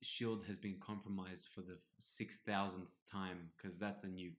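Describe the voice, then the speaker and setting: A man's voice: low-pitched, level tone, a bit bright. A man in his twenties co-hosting a podcast, teasing and bantering in casual chat. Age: 20s. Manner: playful, teasing, quick to banter. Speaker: podcast co-host.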